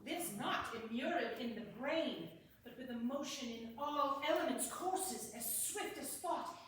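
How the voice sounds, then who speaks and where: A witty, passionate young nobleman of the Shakespearean stage, played by a woman argues for love in high, rhetorical verse. The delivery is unhurried, with dramatic pauses. It is heard from a distance in a strongly reverberant room. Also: lively intonation, a bit bright. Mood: persuasive, musing. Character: witty, passionate young lord, eloquent lovesick male scholar. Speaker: amateur stage actor in a Shakespeare reading. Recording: distant, echoey hall with faint noise.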